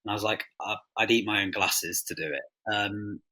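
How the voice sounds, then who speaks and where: A man's voice: fairly low pitch, fairly even intonation, moderate pace, sharp tone. A man talking casually and wryly as a writer being interviewed.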